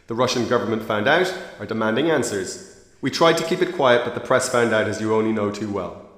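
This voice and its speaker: A man's voice: on the low side, fairly quick, fairly bright tone, lightly acted. Menacing male agent in an echoey chamber, acted by a man. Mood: coldly menacing. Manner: barking, threatening, sneering. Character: menacing, brutal male secret agent interrogator. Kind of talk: radio drama acting. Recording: echoey room with long reverb.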